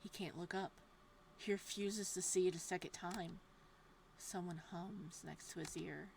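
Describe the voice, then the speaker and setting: A woman reading a horror visual novel's text aloud in a hushed, steady voice. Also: mid-range voice, creaky, a little hiss or hum, low-quality recording.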